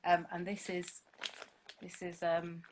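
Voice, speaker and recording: A woman's voice: on the low side, completely flat delivery, slow pace, slight background noise. A woman songwriter chatting casually as a guest, rambling and self-deprecating with lots of fillers. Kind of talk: casual chat.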